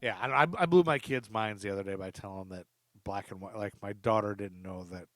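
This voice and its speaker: A man's voice: on the low side, fast, a bit bright, no room sound. A middle-aged man hosting a podcast, chatting casually in a rambling, self-deprecating way and laughing often. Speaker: podcast host. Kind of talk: casual chat.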